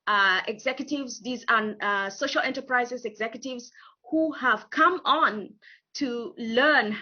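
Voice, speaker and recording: A woman from Kenya, a guest introducing herself and her consulting work, speaking in measured phrases with frequent filler pauses. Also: fairly high pitch, lo-fi sound. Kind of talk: interview self-introduction. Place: home in England over video call.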